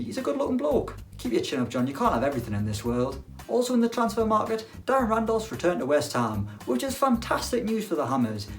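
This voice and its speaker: Lively, self-mocking lad. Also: male voice, fairly high pitch. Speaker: football presenter. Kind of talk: casual football chat to camera.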